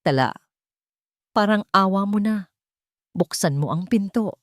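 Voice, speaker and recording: A woman's voice: mid-range voice, lively intonation, unhurried, dry recording, close to an everyday voice. Lady doing a scared weepy uncle in a story, shaky voice, begging, dry sound. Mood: pleading, fearful. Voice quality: trembling, breaking voice. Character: terrified pleading uncle, weepy and desperate man.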